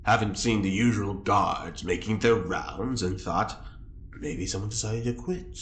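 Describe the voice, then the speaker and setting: A man playing a smooth-talking, nosy prisoner in an audio drama, theatrical and mock-polite as he wheedles. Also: fairly low pitch, unhurried, a bit bright. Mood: sly, teasing. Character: smooth-talking, nosy male prisoner, slyly teasing the guards. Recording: echoey room with noticeable background noise.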